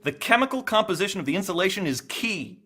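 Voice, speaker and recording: A man's voice: sits high, lively intonation, fast talker, pushed and bright, slightly rough, full character voice. An actor playing an eccentric, eager scientist in an audio drama, a pedantic man who stammers and repeats himself as he explains. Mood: eager lecturing.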